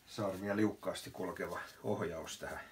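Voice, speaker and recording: A man's voice: low-pitched, fairly even intonation. A middle-aged man giving laid-back, casual commentary while he works with his hands in a small garage. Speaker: amateur mechanic presenting own repair video. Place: small wooden garage or shed, indoors. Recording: noisy amateur workshop recording, clicks.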